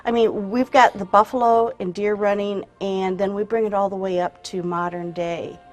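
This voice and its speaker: Woman interviewer, mid-pitched voice. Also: fairly even intonation, moderate pace, soft background music. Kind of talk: conversational interview.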